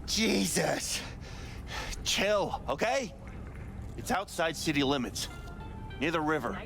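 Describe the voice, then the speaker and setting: Jittery, sweary coward of a shopkeeper, male, high voice leaping around, defensive game character. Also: sing-song, slow pace, gravelly, music in the background, exaggerated voice. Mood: grudging, irritated. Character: cowardly, rattled, foul-mouthed male shopkeeper and informant. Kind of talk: video game acting.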